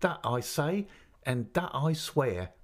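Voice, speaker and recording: A man's voice: medium pitch, big pitch swings, slow, voice pushed up. A man voicing a blunt, stiff, loyal woman servant in a storybook, speaking plainly and emphatically. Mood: emphatic indignation. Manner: emphatic, rustic plain-spoken, repetitive.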